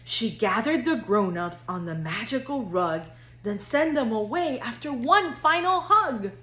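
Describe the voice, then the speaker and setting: A woman school counselor speaking to young children in a gentle, singsong read-aloud storytelling manner. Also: a little high, animated, measured pace, roomy sound.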